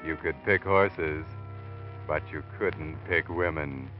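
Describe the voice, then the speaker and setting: Creepy old-time radio narrator, really low voice, mocking, music under muffled sound. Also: male voice, steady tone, slow. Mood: knowing. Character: sinister omniscient male narrator who knows dark secrets. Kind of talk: 1940s radio mystery acting.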